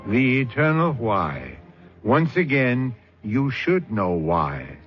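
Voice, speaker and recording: An actor playing a knowing, sardonic host of a suspense radio drama, narrating with deliberate dramatic pauses. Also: male voice, medium pitch, sing-song. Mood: ominous, knowing. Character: ominous, knowing male mystery host. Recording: dull old radio recording. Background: faint music.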